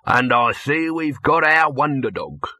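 Man as a high-pitched, pushy showbiz huckster. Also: lively intonation, measured pace, sharp tone, no echo, clean studio sound. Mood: effusive. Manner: effusive, dismissive, salesman-like. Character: brash, pushy, fast-talking male showbiz salesman.

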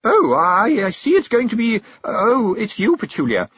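Storybook hostess witch, played by a man: high, swooping, gushing and posh, brightly strained and flustered. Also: huge pitch swings, moderate pace, husky, no echo, highs cut off, exaggerated voice. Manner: trilling, gushing, strained cheerfulness.

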